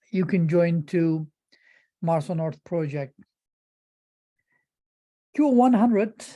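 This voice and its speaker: A middle-aged man with a Turkish accent presents informally. His voice is very soft-edged and mellow, and he speaks haltingly, repeating words as he feels for the next phrase. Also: high-pitched. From Turkey.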